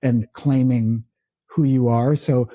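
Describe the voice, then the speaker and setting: Filipino-born guy, tagalog first language, very mellow low-bright voice, dry close sound, halting podcast chat. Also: steady tone, no room sound. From Philippines. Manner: slow, halting, many restarts. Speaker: podcast co-host. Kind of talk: casual chat.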